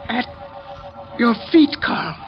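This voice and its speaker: A man's voice: very high voice, very animated, slow, heavily acted. An actor playing a nervous, easily frightened man with a tense, melodramatic manner in an old-time radio horror play. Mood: panicked. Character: nervous, easily frightened brother, fragile and jumpy. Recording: dull vintage radio recording with music.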